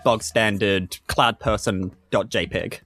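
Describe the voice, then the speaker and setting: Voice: low-pitched, fairly even intonation, takes it slowly, a bit bright, soft background music. A player, chatting casually and jokily during a tabletop role-playing game. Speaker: player in a tabletop RPG podcast. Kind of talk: casual chat.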